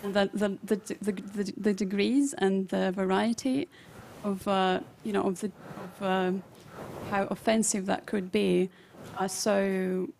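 A woman's voice: medium pitch, creaky, a little mellow. A woman whose first language is Russian, asking a lecturer a question from the audience, searching for words and repeating herself. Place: large lecture theatre audience.

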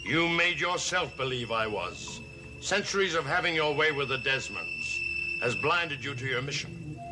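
Solemn, knowing older gentleman. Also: fairly high pitch, big pitch swings, normal speed. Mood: stern, condescending. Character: grave, knowing older gentleman, mysterious grandfather. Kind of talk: gothic television soap opera acting. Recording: old TV soundtrack, dramatic music underneath.

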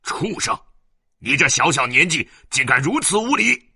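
A male storyteller voicing an indignant wealthy old gentleman, scolding and exasperated. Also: mid-range voice, expressive, fast, husky, exaggerated voice. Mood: furious.

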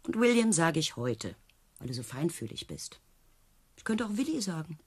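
Radio play circus rider: deep for a woman, raspy, mocking and world-weary. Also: big pitch swings, moderate pace, no echo, exaggerated voice. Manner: sarcastic, needling.

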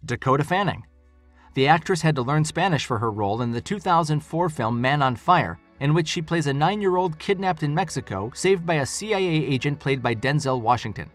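A man reads a scripted narration in an upbeat, animated way. His voice sits a little high and moves around a lot. Soft background music plays under him. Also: fairly quick.

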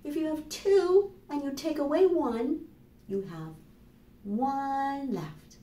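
An American woman in her fifties reading and explaining to young children as a storytime host, with deliberate, animated delivery. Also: sits high. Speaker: children's librarian hosting storytime. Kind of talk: children's storytime narration and instruction. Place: small plain indoor room. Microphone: camera microphone a few steps away. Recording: roomy echo, voice far from mic.

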